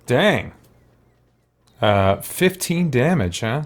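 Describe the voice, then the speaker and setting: A man hosts a casual video game playthrough in a lively, varied voice at a middling pitch. He thinks aloud as he goes, dropping muttered asides and small exclamations. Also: drawn-out words. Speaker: gaming video host. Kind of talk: casual let's play commentary.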